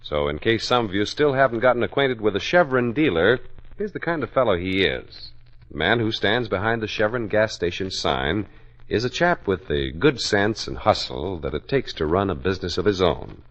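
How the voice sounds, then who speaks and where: An American male announcer in 1940s radio style. His low voice moves with lots of lift and fall in a folksy sales pitch, on a dull old recording. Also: sing-song, moderate pace, a bit bright. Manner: folksy, polished salesman delivery. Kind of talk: scripted 1940s radio advertisement narration.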